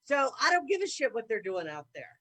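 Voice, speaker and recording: A woman's voice: a little high, very animated, a little fast, bright, forceful tone, rough recording. A woman speaking as a guest in a roundtable discussion, talking in a blunt, emphatic way. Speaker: podcast guest.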